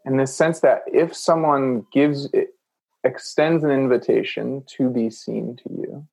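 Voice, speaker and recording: A man's voice: mid-range voice, fairly even intonation, measured pace, creaky, darkish tone, poor audio. A man hosting a podcast interview, reflecting back what his guest says and checking his understanding. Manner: reflective, paraphrases guest, frequent "mm" affirmations. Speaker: podcast host. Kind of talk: conversational interview.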